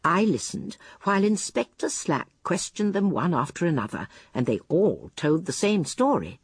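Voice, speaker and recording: Husky old english village lady detective, radio drama, lowish voice, very expressive, precise n polite but firm. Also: normal speed, heavily acted. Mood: narrating calmly. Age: older. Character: shrewd, genteel elderly English village spinster sleuth. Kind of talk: radio drama acting and narration.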